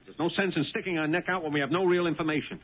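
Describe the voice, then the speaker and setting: An actor playing an uneasy, honest working-class cab driver in a 1970s radio drama. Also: male voice, on the high side, steady tone, racing, close to an everyday voice. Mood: defensive, irritated. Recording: tinny, band-limited 1970s radio broadcast.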